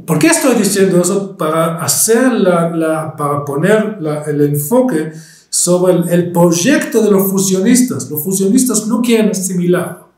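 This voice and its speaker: An Israeli historian with a Spanish accent picked up in Argentina, lecturing and pausing often with fillers and restarts. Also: male voice, sits high, expressive, darkish tone, reverberant. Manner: hesitant, many fillers and restarts.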